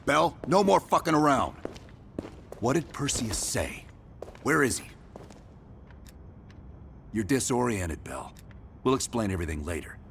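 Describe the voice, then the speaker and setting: An actor playing a gruff, ruthless American intelligence operative and war veteran, pressing and commanding, in a video game cutscene. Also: male voice, mid-range voice, sing-song, a little slow, long pauses, a bit bright, a little hiss or hum, heavily acted. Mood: angry, pressing. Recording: music behind.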